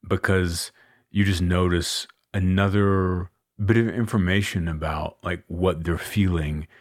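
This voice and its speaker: A man hosting a solo coaching podcast, talking in an unhurried, musing way with a deep chest voice and many fillers. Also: husky, no room sound, studio quality. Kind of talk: reflective solo podcast talk.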